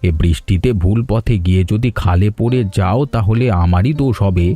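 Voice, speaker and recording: A man's voice: lowish voice, normal speed, mellow, natural voice. A man voicing a muddled, kindly, chatty 65-year-old villager in a cartoon, stammering and repeating himself. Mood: muddled, friendly. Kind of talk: cartoon story voice acting.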